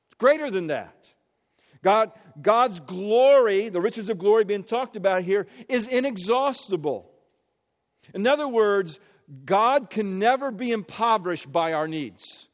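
A man's voice: high-pitched, lively intonation, a little slow, in a large hall. A man from the Midwest, preaching an earnest sermon as pastor and often repeating words as he builds his phrases. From American Midwest.

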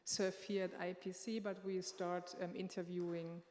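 A woman giving unscripted church announcements in a church sanctuary, halting and pausing often as she speaks into a microphone. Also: fairly low pitch, flat delivery, a little mellow. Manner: halting, with many filler pauses. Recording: long reverb of a large hall.

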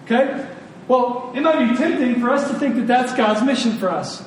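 A man's voice: very high voice, normal speed. A man preaching a conversational sermon, earnest and informal, leaning on repeated phrases for emphasis. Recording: very echoey room, distant mic, clear noise.

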